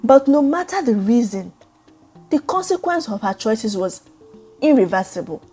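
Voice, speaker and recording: A woman narrating a dramatic story in a measured, solemn way. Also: a little high, very animated, dark tone, slightly rough. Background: background music.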